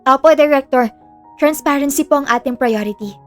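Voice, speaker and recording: A woman's voice: high-pitched, fairly quick, soft background music, lightly acted. A woman playing a respectful, businesslike head nurse reporting formally in an audio drama. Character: female head nurse, dutiful, respectful assistant.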